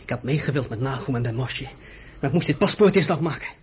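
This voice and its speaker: An actor playing an intense, impatient young resistance fighter with a rough voice and restless urgency in a radio drama. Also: male voice, mid-range voice, expressive, quick pace, a little hiss or hum, natural voice.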